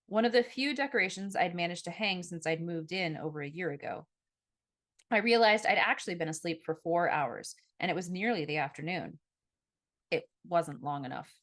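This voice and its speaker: A woman reads fiction aloud in a slightly low voice. She narrates in the first person like an audiobook author, with an expressive storytelling delivery. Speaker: author reading own novel.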